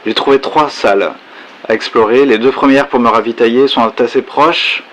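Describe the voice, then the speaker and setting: A man's voice: mid-range voice, steady tone, normal speed, a bit bright, lightly acted, low-quality recording. Man playing a reflective, solitary science-fiction survivor. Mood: wary. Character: solitary male survivor recounting his past, wary, reflective, bookish. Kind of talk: first-person science fiction audio drama monologue.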